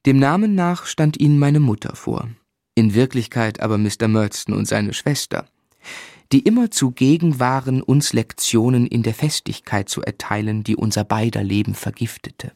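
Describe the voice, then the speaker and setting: A male actor narrating a radio play as a grown man looking back on his boyhood, in a measured, reflective storytelling manner. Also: medium pitch, darkish tone, dry recording, studio quality, lightly acted.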